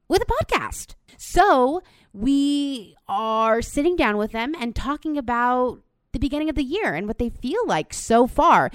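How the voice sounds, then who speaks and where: A young woman hosting a student podcast, bubbly and excitable, teasing her guests playfully. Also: on the high side, clean tone, no room sound. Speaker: podcast host. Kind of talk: casual group chat.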